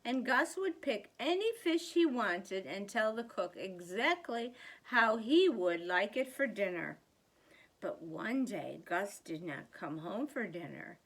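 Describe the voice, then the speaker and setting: A woman reading a children's picture book aloud as a storyteller, deliberately and with theatrical emphasis. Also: fairly high pitch, a bit bright.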